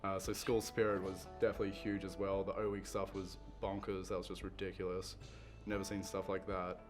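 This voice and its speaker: Very deep, monotone male student. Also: brisk, music in the background. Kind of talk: casual roundtable talk.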